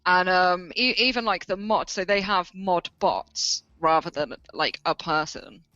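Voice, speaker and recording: A co-host chatting casually with relaxed humor and many small hesitations. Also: mid-range voice, measured pace, no room sound, highs cut off, faint music behind. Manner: relaxed, joking, hesitant fillers. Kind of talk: casual podcast chat.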